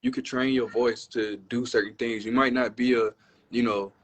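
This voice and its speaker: A deep-voiced man answers interview questions about his music. He talks in a relaxed, reflective way and often drops in "you know". His pitch sits in an ordinary middle range for a man. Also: normal speed, a little hiss or hum.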